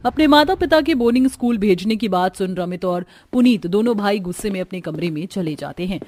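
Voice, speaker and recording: A woman's voice: mid-range voice, lively intonation, brisk, darkish tone. A woman narrating a scripted cartoon story as its storyteller.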